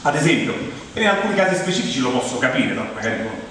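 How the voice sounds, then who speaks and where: A man's voice: medium pitch, sing-song, rapid-fire, a bit bright. A man with a Roman accent performing a comic theatrical monologue in a wry, ironic, conversational way, with mock-solemn turns. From Rome, Italy. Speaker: stage monologuist. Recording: very echoey hall, distant mic, clear noise.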